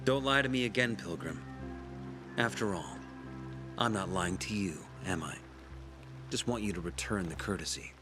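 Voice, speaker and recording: An actor playing a shrewd, pompous leader of a survivor community in a video game, speaking in a deliberate, preacherly way. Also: male voice, deep voice, close to an everyday voice. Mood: calm menace. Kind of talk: dramatic video game acting. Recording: background music under the voice.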